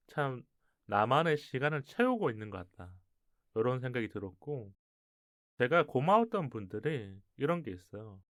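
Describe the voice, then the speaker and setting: A man's voice: medium pitch, dry recording, studio quality. A man hosting a radio show in a conversational style, talking to listeners and a guest.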